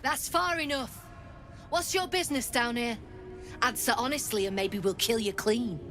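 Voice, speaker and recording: An actress playing a blunt, threatening criminal boss, speaking in a businesslike way. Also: female voice, on the high side, normal speed, fairly bright tone, faint music behind, natural voice. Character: tough criminal gang boss woman.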